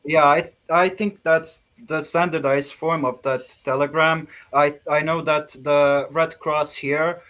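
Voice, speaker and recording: A man's voice: a little high, steady tone, slow pace, few pauses, sharp tone. A man from Bosnia with a Bosnian accent, speaking informally and hesitantly as a guest answering questions after a presentation. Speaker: guest speaker at an online ham radio expo.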